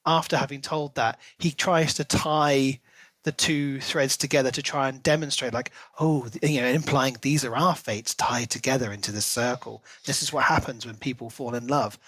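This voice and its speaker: A man's voice: mid-range voice, fairly even intonation, normal speed, fairly bright tone, no room sound. A man co-hosting a podcast, talking through a book in a casual, thoughtful and analytical way. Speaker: podcast co-host.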